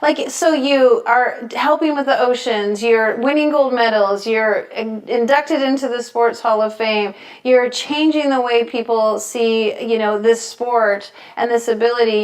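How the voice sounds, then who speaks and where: A woman hosting a conversational interview, enthusiastic and affirming toward her guest. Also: fairly high pitch. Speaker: host.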